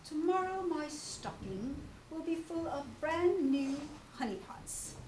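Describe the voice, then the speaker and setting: A woman voicing a gentle, slow-witted, good-natured male toy bear in a mild, musing storybook manner. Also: high voice, lively intonation, voice pushed up. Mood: contented. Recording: distant echoey room with faint noise.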